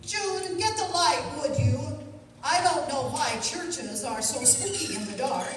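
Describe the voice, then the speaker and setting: A woman's voice: high-pitched, expressive, unhurried. A woman playing a bossy, nagging church choir lady in an amateur radio comedy. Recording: echoey hall, distant mic, faint noise.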